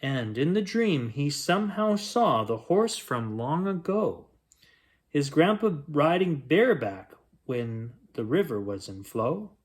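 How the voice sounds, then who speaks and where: A man reading a rhyming picture book aloud as a bedtime story, in a gentle, expressive storytelling manner. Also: a little high, slow. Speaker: children's bedtime story reader.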